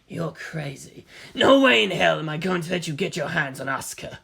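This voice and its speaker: A woman's voice: deep voice, expressive, moderate pace, gravelly, a bit bright, husky, exaggerated voice. A woman voicing a wary, hostile male spy with a terse, scornful manner. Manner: terse, scornful, hissing through teeth.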